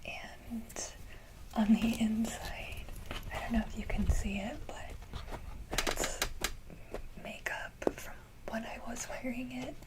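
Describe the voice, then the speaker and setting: A woman whispering breathily in a casual ASMR style, speaking to viewers in a gentle, halting manner. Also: on the high side, fairly even intonation. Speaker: ASMR video creator. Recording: clearly noisy, hissy background.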